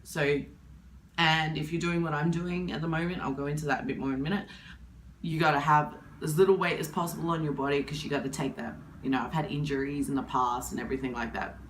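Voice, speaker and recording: A woman in her twenties with a husky voice from hay fever, talking candidly to camera in a rambling, casual way. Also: extremely low pitch, a little hiss or hum, low-quality recording. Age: 20s. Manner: candid, rambling, with many fillers. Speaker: vlogger.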